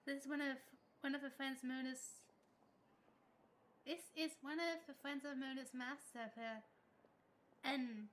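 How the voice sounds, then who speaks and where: A woman's voice: high-pitched, steady tone, unhurried, long pauses, a bit bright, a little hiss or hum. A woman streaming a video game live, reading dialogue aloud and reacting to it casually. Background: faint game music.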